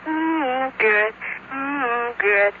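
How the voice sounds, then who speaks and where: A woman's voice: sits high, drawn-out words. A woman in a casual phone conversation, polite and amused in manner. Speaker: phone call recipient. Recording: distant tinny noisy phone line.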